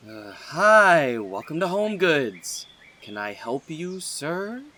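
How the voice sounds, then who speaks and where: A man acting out a disgruntled young store employee from an audiobook, grumbling in casual slang. His voice is sharp and very animated, ringing with long hall reverb. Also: fairly high pitch, takes it slowly, exaggerated voice. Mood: reluctant politeness.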